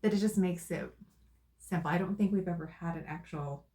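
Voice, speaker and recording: A woman in casual conversation with her partner, thoughtful and soft-spoken. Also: on the low side, brisk, a crowd in the background. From Arizona, USA. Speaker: co-host.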